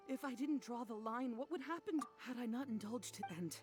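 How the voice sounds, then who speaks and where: A woman's voice: fairly high pitch, moderate pace, close to an everyday voice. A woman playing a weary, regretful wife in a video game, speaking in a subdued, rueful manner. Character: weary, regretful wife worried about her missing husband. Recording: music behind the voice.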